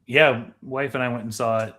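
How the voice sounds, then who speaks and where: Measured man in casual podcast chat. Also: mid-range voice, steady tone, sharp tone. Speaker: podcast co-host.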